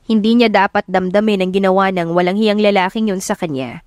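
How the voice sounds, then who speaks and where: A female audiobook narrator tells a scripted love story in a dramatic storytelling style. Her pitch is average for a woman. The recording is dry and close. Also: brisk, darkish tone, clear, resonant tone, no echo.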